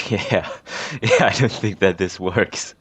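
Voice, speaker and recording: A man's voice: low voice, slow, crowd noise. A man giving casual, off-the-cuff game commentary in a sarcastic, incredulous manner. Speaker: gamer, playthrough commentator. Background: game music.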